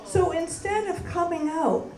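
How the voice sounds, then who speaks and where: A woman from Canada doing a stand-up comedy set, delivering deadpan punchlines and bantering with the crowd on a club stage. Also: very high voice, unhurried. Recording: roomy live club sound from a distance with crowd laughter.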